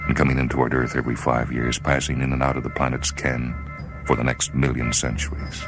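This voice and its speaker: Very deep-voiced solemn male narrator. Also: level tone, music in the background. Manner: measured, solemn. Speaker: short-story reader, narrator. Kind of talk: dramatic scripted literary narration.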